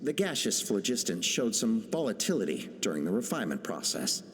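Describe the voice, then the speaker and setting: A man's voice: lowish voice, sing-song, a little fast. A man playing an ambitious male inventor who reads out his research journal with driven intent. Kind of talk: video game dialogue. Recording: long hall reverb.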